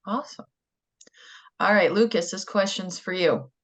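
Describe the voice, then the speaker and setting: A woman's voice: medium pitch, moderate pace, a bit bright. A woman hosting a college sports interview, asking questions in an upbeat, friendly way. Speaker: interviewer host.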